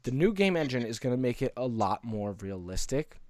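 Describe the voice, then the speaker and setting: A man's voice: medium pitch, sing-song, normal speed, a little mellow, no echo. A man co-hosting a casual gaming podcast, talking in a conversational, opinionated way as he explains his views. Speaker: podcast co-host.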